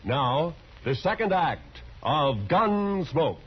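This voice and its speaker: A man's voice: a little high, expressive, takes it slowly, heavy background noise. A man with an American accent announcing a promo in a 1950s radio style. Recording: muffled old radio broadcast.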